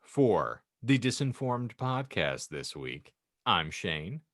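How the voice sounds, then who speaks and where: A man's voice: lowish voice, big pitch swings, takes it slowly, a bit bright, no echo, studio quality. A man hosting a comedy podcast, reading fan fiction in a mock-solemn storybook voice and chatting wryly. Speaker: podcast host and narrator.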